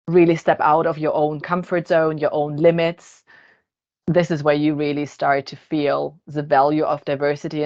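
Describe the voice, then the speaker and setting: A woman whose first language is Russian hosts a podcast talk, reflective and steady as she builds long thoughts. Her voice sits very low. The audio is dull and lo-fi. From Kazakhstan. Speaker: podcast host. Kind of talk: casual panel discussion.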